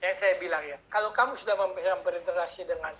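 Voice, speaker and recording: A man giving a casual workshop talk as guest speaker, chatty and animated, telling anecdotes in a slangy way. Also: high-pitched, quick pace, lo-fi sound, slight background noise. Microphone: online call mic.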